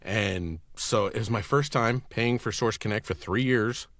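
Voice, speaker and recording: A man's voice: fairly low pitch, a little slow, vocal fry, a bit bright, no echo, clean studio sound. A man telling anecdotes in casual podcast chat as a guest voice actor.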